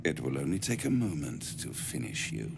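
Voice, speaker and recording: An actor playing a suave, cruel devil lord in a video game, with grand, menacing, drawn-out delivery. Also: male voice, rumbling low, sing-song, gravelly, background noise, heavily acted. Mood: cold fury. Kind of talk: dramatic video game villain acting.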